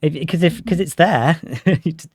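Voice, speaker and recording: A man's voice: fairly high pitch, slow pace, darkish tone, breathy edge, no room sound, studio quality. A man explaining a product conversationally as an interview guest, with enthusiasm and everyday examples. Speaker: interview guest, sales director.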